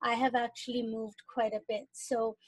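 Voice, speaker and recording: A woman's voice: on the high side, little pitch movement, normal speed, breathy edge, dry recording. Woman guest speaking in a dry room. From Iran. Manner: thoughtful, with frequent fillers. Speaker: podcast guest. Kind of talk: casual interview talk.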